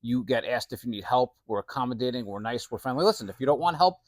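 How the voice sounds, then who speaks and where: A man's voice: medium pitch, lively intonation, fast talker, no echo. A man in his late forties, a shop owner, chatting casually as a guest in a plain-spoken, friendly and earnest way. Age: late 40s. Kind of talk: casual chat.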